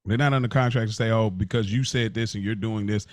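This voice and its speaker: A man with a lowish voice, recorded very dry. He talks as a podcast co-host in loose, slangy conversation, starting and repeating phrases as he works toward his point. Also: steady tone, no room sound. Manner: slangy, halting, repeats himself to make a point. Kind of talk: casual chat.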